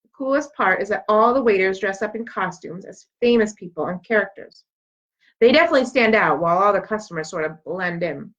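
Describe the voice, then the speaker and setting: A woman reading a children's book aloud as an expressive storyteller. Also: mid-range voice, fairly quick. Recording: dull, lo-fi sound.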